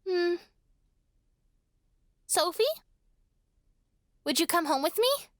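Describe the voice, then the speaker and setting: High-pitched, vain little girl. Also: lively intonation, slow, dry recording, clean studio sound, full character voice. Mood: smug. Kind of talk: horror radio drama acting.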